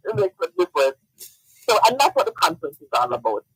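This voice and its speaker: A man from Jamaica, a guest in a casual radio interview, who talks animatedly, laughs often and repeats words when excited. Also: high voice, husky, no echo, poor audio.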